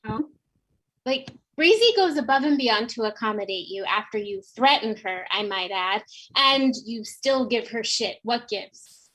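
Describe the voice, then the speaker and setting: A woman's voice: on the high side, animated, a little slow, a bit bright, poor audio. A woman playing an exasperated, sarcastic co-op worker in a comedy table read, pointed and confrontational.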